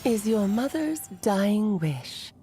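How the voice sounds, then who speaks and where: A woman's voice: mid-range voice, very animated, slow, mellow, slight background noise. A woman voicing an elegant, theatrical mage who speaks grandly and with playful self-assurance. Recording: game audio with faint music.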